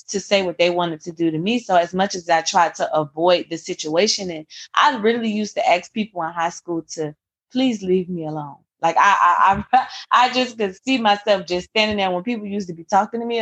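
A young adult woman, a guest author, tells her life story in a podcast interview, earnest and reflective, often restarting her sentences. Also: medium pitch. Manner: earnest, reflective, many restarts and "you know".

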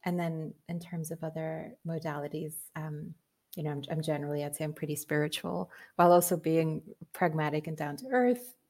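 A woman's voice: low voice, fairly quick, a little mellow. A woman guest on a podcast, talking in a casual, earnest way, laughing often and using many fillers. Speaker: podcast guest, business coach. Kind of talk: casual conversational interview.

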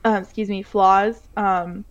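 A woman's voice: mid-range voice, level tone, takes it slowly, dull audio. A young woman co-hosting a podcast in casual chat, blunt and profane, full of "like" and "you know". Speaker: podcast co-host.

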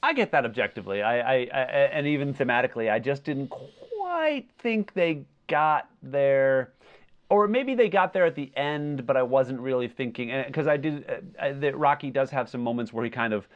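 Slightly dull lo-fi recording, man, podcast co-host, average pitch, casual review chat, hedged opinions. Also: animated, moderate pace.

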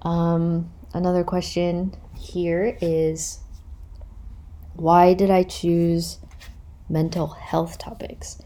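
A woman's voice: fairly low pitch, little pitch movement, drawn-out words, warm tone. A woman of thirty with a Canadian accent, hosting a podcast and answering questions in a candid, reflective, casual way with some hesitation. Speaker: podcast host.

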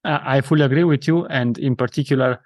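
A man's voice: medium pitch, fast, dry recording. A man with an Italian accent, a native Italian speaker, hosting a podcast interview and often restarting words as he searches for them. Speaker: podcast host. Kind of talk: conversational interview.

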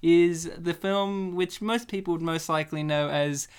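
A man's voice: a little high, steady tone, a little slow, clear, resonant tone. Jokey, rambling podcast host man. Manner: jokey, rambling, laughs often. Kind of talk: casual movie-watching podcast chat.

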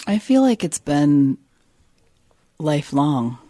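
A woman's voice: sits low, sing-song, takes it slowly, dark tone. A woman guest chatting casually, with short, emphatic, affirming replies. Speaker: podcast guest, energy healer and hairstylist. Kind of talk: casual conversation.

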